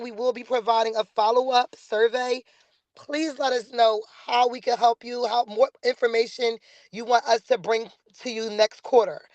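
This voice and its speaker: A woman's voice: on the high side, no room sound. Woman, webinar host, enthusiastic, chatty, dry close sound, muffled lo-fi video call audio. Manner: enthusiastic and chatty, many "um"s, encouraging. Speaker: webinar host, organization director. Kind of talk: hosting a business webinar.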